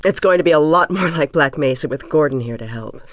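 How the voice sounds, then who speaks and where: A woman's voice: low voice, animated, a little fast, dry recording, clean studio sound. An actress playing an earnest, composed middle-aged woman physicist in a video game, speaking precisely and a little formally. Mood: eager explaining. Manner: precise, articulate, slightly formal. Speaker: scientist character in a video game. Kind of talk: scripted video game voice acting.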